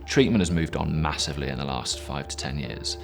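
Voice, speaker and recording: A man's voice: extremely low pitch, a little fast, vocal fry. An English man speaking earnestly and reflectively in a documentary interview. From England. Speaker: climber with haemophilia. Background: music.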